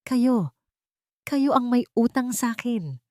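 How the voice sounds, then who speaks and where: A woman's voice: fairly high pitch, animated, slow, dark tone, no echo, clean studio sound, pitched above the performer's own voice. A woman voicing a wronged young wife in a story drama, bitter, defiant and intense. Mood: outraged.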